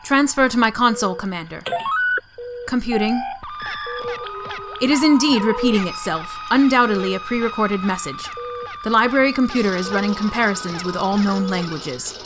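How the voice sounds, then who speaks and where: A woman's voice: mid-range voice, moderate pace, over loud music, close to an everyday voice, low-quality recording. A woman playing a coolly logical starship science officer in a sci-fi audio drama, speaking formally and precisely. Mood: cool, composed.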